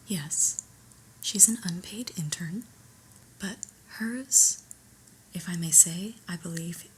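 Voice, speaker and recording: Casting: woman as stern, unimpressed female office boss for ASMR roleplay; breathy whisper, lowish pitch, formal and very unhurried. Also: a little hiss or hum, rough recording. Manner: measured, formal, reproving. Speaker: ASMR performer.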